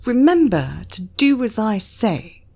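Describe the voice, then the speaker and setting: A woman's voice: fairly high pitch, sing-song, slow pace, warm tone, pitched above the performer's own voice, full character voice. A British woman voicing a domineering, taunting young woman in an audio story. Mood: barking, threatening.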